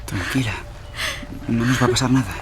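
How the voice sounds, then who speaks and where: A man's voice: medium pitch, moderate pace, noisy background, natural voice. An actor playing a protective young man on a night drive in a true-crime audio drama. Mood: reassuring.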